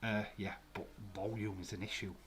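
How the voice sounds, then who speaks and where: A man's voice: lowish voice, level tone, normal speed, a bit bright, a little hiss or hum. A man with a Glasgow accent hosting a live watch-along stream from a room at home, chatting casually with viewers in a rambling way with many fillers. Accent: Glaswegian. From Scotland.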